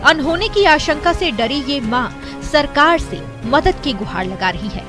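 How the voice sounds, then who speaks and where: Female news narrator, high pitch, formal and measured, over a strong music bed. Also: animated.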